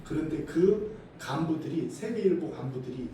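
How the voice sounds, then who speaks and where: A middle-aged pastor from South Korea preaches to his congregation in a high, mellow voice. He keeps asking the congregation questions and moves between loud, emphatic lines and low, quiet asides. He is recorded from a distance in a very echoey church hall with faint background hiss. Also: expressive, moderate pace. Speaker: senior pastor. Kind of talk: sermon. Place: church sanctuary.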